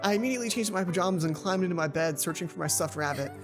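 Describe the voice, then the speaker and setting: Animated woman reading a game aloud. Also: lowish voice, racing, over music. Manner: playful asides, self-correcting. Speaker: let's play host. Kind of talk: reading a visual novel aloud with casual commentary.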